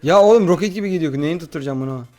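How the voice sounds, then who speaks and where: A man's voice: fairly high pitch, rapid-fire, a little mellow. A man chatting casually as a gaming host, bossy as he instructs and complains. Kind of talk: casual let's-play chat with a friend. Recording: music behind the voice. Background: background music.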